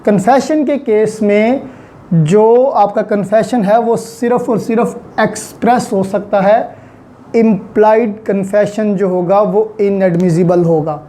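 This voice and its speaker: Male law lecturer, Pakistani accent, high-pitched dark-mellow voice, didactic, repeats key points for students. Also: fairly even intonation, slow.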